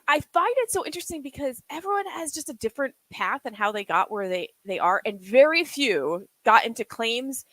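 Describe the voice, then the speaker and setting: A woman host asks curious, rambling questions that stumble and restart. Her voice sits a little high and rises and falls a lot. The sound is close and dry, with no room echo. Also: a bit bright. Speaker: podcast host. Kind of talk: casual interview chat.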